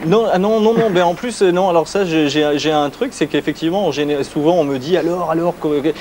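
A man in his thirties answers questions on a TV studio set, halting and restarting often. A lapel mic catches him with faint noise behind. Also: a little high, darkish tone. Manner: hesitant, many restarts and fillers. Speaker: guest photographer. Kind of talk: casual TV interview.

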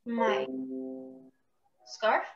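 A woman's voice: extremely slow, faint music behind. A woman playing a distressed, genteel young lady in a radio play, earnest and dramatic, in an echoey, muffled recording. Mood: fearful. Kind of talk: theatrical radio play acting.

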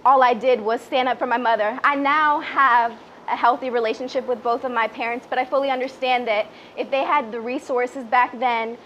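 Woman, 20s, higher register, sincere live testimonial speaker on handheld mic, slightly muffled outdoor sound. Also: steady tone, normal speed, hardly any pauses, a bit bright. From born in Peru, grew up in the Washington DC area. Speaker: public relations director giving a speech. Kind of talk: personal testimonial speech at a small event. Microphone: handheld microphone, lapel mic also worn. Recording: muffled, noisy live PA recording.

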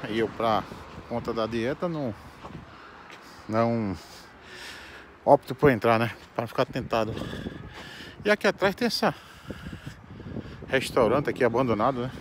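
A man's voice: medium pitch, animated, moderate pace, long pauses. A middle-aged Brazilian man narrating a casual walking vlog outdoors on a handheld phone. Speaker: vlogger. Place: outdoors by a roadside building. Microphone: handheld phone at arm's length. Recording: very noisy outdoor wind and traffic.

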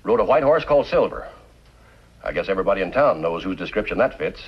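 A man's voice: sits low, animated, fast, muffled recording, slight background noise. An actor in his fifties playing a formal, businesslike American bank president in an old television western. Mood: grave, matter-of-fact. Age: 50s. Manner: formal, matter-of-fact. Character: middle-aged bank president, sober, businesslike townsman. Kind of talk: 1950s television western acting.

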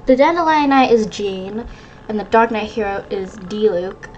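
A woman's voice: medium pitch, lively intonation, moderate pace, lo-fi sound, a little hiss or hum. A woman giving casual gameplay commentary as a gaming YouTuber. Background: game music.

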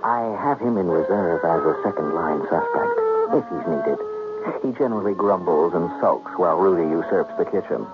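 An actor playing a smooth, calculating gambler who narrates his plot in a 1970s radio drama. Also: low voice, huge pitch swings, normal speed, over loud music. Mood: sly, confiding. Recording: tinny, noisy 1970s radio broadcast.

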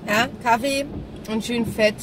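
A woman's voice: on the high side, fairly even intonation, unhurried. A middle-aged woman chats casually and ramblingly to camera as she drives, thinking aloud. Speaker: vlogger. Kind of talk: casual chat to camera while driving. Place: inside a small car. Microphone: dashboard camera at arm's length. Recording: distant mic, loud car driving noise.

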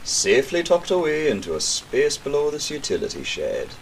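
A man's voice: fairly high pitch, very animated, moderate pace, slight background noise. A man playing a cold, gloating criminal mastermind in an audio drama, mocking, theatrical and condescending. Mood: smug.

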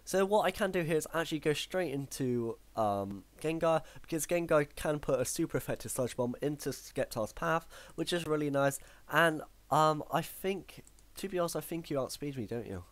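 A man with a croaky voice commentating his own online battle, thinking aloud with frequent ums and self-corrections. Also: fairly high pitch, expressive, moderate pace, no room sound. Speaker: gaming commentator. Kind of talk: live battle commentary over gameplay.

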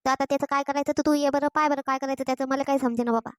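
A woman's voice: high-pitched, fairly even intonation, rapid-fire, no room sound, clean studio sound, faint music behind, close to an everyday voice. A woman voicing a bossy, nagging village grandmother in a cartoon comedy, with a rural Maharashtrian accent. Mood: urgent. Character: scolding, exasperated village grandmother. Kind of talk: Marathi cartoon comedy dialogue.

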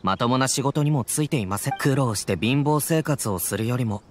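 A man's voice: medium pitch, brisk, slight background noise, natural voice. A man playing an earnest, self-assured samurai guard in a video game, formal and emphatic. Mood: officious, indignant. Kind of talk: video game dialogue acting.

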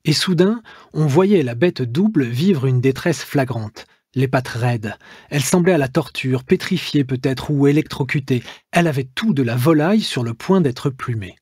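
A man reading his own memoir aloud as an audiobook, in a measured, literary first-person narration. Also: mid-range voice, expressive, darkish tone, slightly rough, no echo, clean studio sound.

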